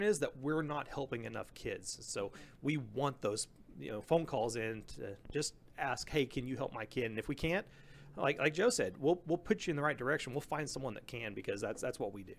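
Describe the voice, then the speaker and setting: A man's voice: mid-range voice, animated, normal speed, creaky, a little hiss or hum. A man from a charity club, guest in a radio interview, speaking earnestly and often repeating his first words. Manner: earnest, repeats words when starting sentences.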